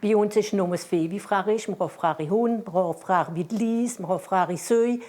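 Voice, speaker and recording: A woman with an Alsatian accent, a museum volunteer, giving short plain answers in a quick interview. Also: medium pitch, warm tone.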